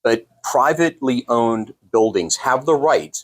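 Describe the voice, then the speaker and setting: An American man answering as a store owner in an interview, explaining things in measured, formal phrasing. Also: medium pitch, lively intonation.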